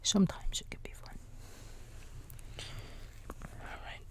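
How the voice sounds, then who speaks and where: Guy being a kindly raccoon babysitter, slow whispery asmr bedtime voice, folksy big brother type. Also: natural voice. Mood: soothing, playful. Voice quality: soft, breathy whisper. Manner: gentle, reassuring, folksy, halting, talking to a small child. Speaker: ASMR roleplay host.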